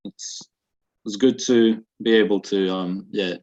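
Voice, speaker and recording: A man in his twenties from Russia, with a Russian accent softened by New Zealand, answering interview questions in a halting way with many fillers, on dull lo-fi webinar audio. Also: on the low side, fairly even intonation. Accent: Russian with a slight New Zealand accent. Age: 20s. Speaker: guest.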